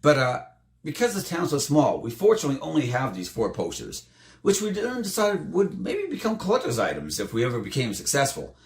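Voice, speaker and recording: Animated, slightly hoarse man in echoey room. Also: fairly quick. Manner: animated, jumps up in pitch for emphasis. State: slightly hoarse, losing his voice. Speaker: tabletop game player recounting a campaign. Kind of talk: casual storytelling to camera.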